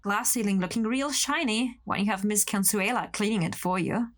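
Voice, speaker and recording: A woman's voice: medium pitch, moderate pace, lightly acted. A woman playing a jaded, resentful young office worker in an audio horror drama. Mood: tender, bitter.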